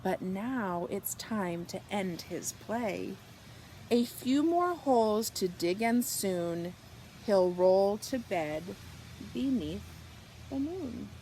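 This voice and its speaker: A woman reads a picture book aloud for small children at a very slow, deliberate pace. Her voice sits at an average pitch, with expressive, drawn-out phrasing that softens for the bedtime lines. There is faint background noise in the recording. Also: low-quality recording. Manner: slow, animated delivery with drawn-out words and hushed, whispered good nights. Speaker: children's storybook reader.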